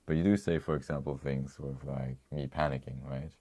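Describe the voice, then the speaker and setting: A man's voice: very deep voice, steady tone, brisk, dark tone. A man co-hosting a casual chat, reading viewer comments, rambling with frequent chuckles. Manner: rambling, chuckles often, restarts. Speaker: co-host.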